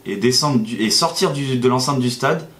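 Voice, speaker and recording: A man's voice: medium pitch, expressive, moderate pace, fairly bright tone. A young man from France, a native French speaker, casually recounting a day step by step as a vlog guest. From Nantes, France. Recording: echoey, roomy sound.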